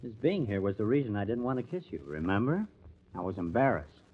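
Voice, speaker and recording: A man's voice: fairly low pitch, big pitch swings, brisk, breathy edge. A tense newspaper artist with murder in him, in old-time radio style. His sardonic, menacing lines rise and fall dramatically. The vintage recording is dull and muffled. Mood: pensive, guarded.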